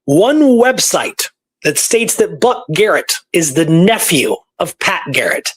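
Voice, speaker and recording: A man's voice: high voice, big pitch swings, slow, slightly rough. A man hosting a history talk, telling stories casually and going through dates and records in detail. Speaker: podcast host presenting historical research. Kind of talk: casual storytelling conversation.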